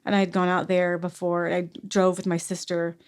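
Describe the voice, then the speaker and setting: A woman in her forties, a podcast guest telling a personal story in conversation. She speaks softly and haltingly, with frequent sighs and restarts. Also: on the low side, level tone, smooth.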